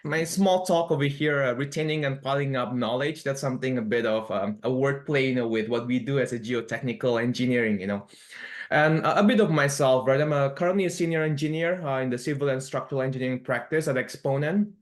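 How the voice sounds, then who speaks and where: A man from Indonesia talks over a video call at an ordinary middle pitch. He is loose and conversational, leaning on "you know" and "right" as he explains. It sounds like an online panel, not a stage talk. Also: fast. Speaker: senior engineer and lecturer, panelist. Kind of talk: online panel discussion and presentation.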